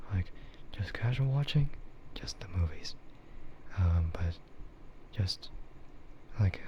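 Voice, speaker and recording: Low, half-whispering man doing sleepy-paced ASMR. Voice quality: soft, breathy, often whispered. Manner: rambling, hesitant, informal. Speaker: VTuber streamer hosting an ASMR stream. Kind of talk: ASMR, soft half-whispered casual rambling. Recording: muffled lo-fi stream audio, clear background noise.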